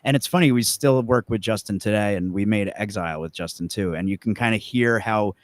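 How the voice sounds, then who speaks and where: A young man with a fairly low voice, recorded dry and close. He talks as a musician being interviewed, casual and self-effacing, wandering through his recollections. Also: brisk, dry recording. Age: young adult. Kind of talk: casual chat, reminiscing.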